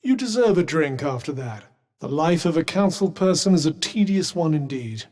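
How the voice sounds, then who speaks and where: A man's voice: a little high, lively intonation, moderate pace, darkish tone. A man with a British accent voicing a haughty, erudite magical cuff in a fantasy video game, with polished diction and dry sarcasm. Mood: dry, somber. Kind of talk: scripted game companion dialogue.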